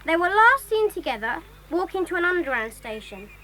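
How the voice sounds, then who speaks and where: Woman as bright, chirpy, high-pitched schoolboy. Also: sing-song, a little fast, a little hiss or hum, exaggerated voice, poor audio. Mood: keen. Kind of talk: children's audio drama acting.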